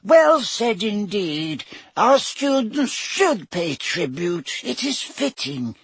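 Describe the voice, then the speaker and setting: A man voicing a tiny old male professor with a squeaky, high voice. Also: sing-song, takes it slowly, slightly rough, dry recording, full character voice. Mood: eager.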